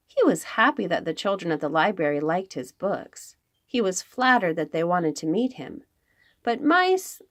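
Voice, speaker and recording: A woman reading aloud to young children as a storytime host, expressive, with dramatic emphasis and pauses. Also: mid-range voice.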